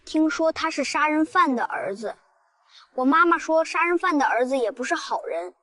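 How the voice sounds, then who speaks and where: A woman's voice: high voice, animated, moderate pace, sharp tone. A woman voicing a playful, gossipy little girl in a drama, with a childlike manner. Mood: wary. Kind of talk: audio drama dialogue.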